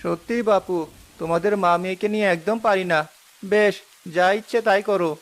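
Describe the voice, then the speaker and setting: A man's voice: sits high, fairly even intonation, a little fast, a little hiss or hum. A man voicing a poor, worried village husband in a cartoon, grumbling and resigned.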